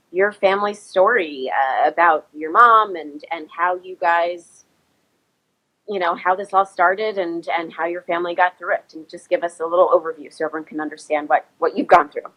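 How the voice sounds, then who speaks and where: A woman's voice: lowish voice, normal speed, a bit bright, poor audio. Woman host, thirties, small cold, sniffly, chatty, lots of ums, video-call audio. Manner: chatty, many ums and restarts. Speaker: host of an Instagram live chat. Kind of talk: casual live-stream interview. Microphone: phone or laptop, video call.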